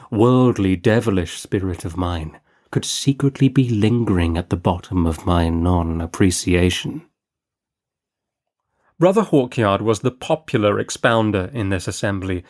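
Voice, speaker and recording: A man's voice: fairly low pitch, darkish tone. Man narrating a classic story. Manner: measured, deliberate storytelling. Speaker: audiobook reader.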